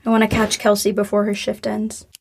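A woman's voice: medium pitch, completely flat delivery, fairly quick, vocal fry, a little hiss or hum, lightly acted. A woman playing a grieving young woman in an audio drama, apologetic and wryly hopeful, speaking casually and sincerely. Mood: reflective, sincere. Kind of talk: naturalistic audio drama acting.

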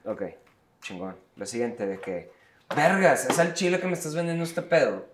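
A man's voice: fairly high pitch, very animated, moderate pace, echoey room, background noise. A man with a Mexican Spanish accent, co-hosting a podcast, joking and sarcastic in a slangy casual chat with friends. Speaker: podcast co-host. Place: studio bar set.

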